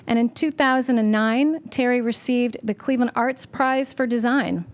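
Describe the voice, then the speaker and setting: A woman welcoming the audience and reading announcements at a public library forum, with a loud voice and careful, deliberate delivery of dates and times. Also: fairly high pitch. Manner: says she has a loud voice. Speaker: library communications manager introducing a forum. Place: public library meeting room.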